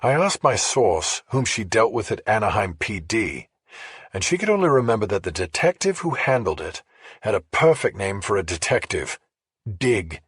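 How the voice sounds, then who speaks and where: A man reads as a female investigative journalist in a thriller audiobook. She is measured and matter-of-fact, explaining with care in a very husky, dryly recorded voice. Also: on the low side, sing-song, a bit bright, no echo, studio quality, exaggerated voice. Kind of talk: audiobook character dialogue.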